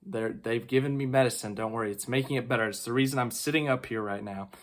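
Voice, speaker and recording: A man with strep throat giving a casual, chatty race recap, excitable and full of fillers. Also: medium pitch, fast. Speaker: racing vlogger.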